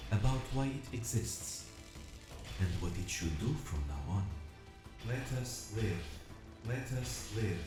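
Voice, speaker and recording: A man playing an earnest, solemn combat robot who longs for freedom, narrating an audio drama in measured, formal, reflective phrasing. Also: mid-range voice. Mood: wondering, then pleading. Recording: echoing voice over music. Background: music.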